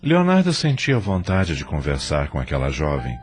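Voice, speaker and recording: Deep, expressive Brazilian male narrator. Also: normal speed. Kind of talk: dramatic scripted narration. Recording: music under the voice.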